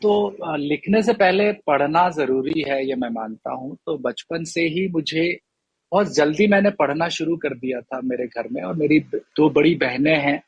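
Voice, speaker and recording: A man with a somewhat high, husky voice chats as an interview guest. He is halting and thoughtful, and the sound is dry and close. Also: no echo, dull audio. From Rajasthan, India. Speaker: guest writer. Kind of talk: casual interview chat.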